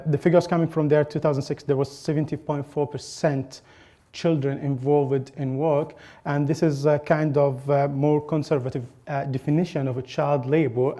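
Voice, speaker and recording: A man from Egypt giving an academic conference presentation, speaking in a halting way with frequent pauses and restarts. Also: on the high side, mellow.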